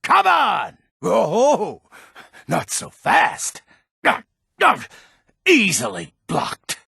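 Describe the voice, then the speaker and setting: Gravelly, crotchety old alchemist. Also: male voice, high-pitched, huge pitch swings, drawn-out words, sharp tone, no room sound, studio quality, exaggerated voice. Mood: angry, combative. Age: older. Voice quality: gravelly, rasping. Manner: crotchety, curt, exasperated. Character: grumpy, pessimistic old male alchemist and shopkeeper. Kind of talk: fantasy video game character acting.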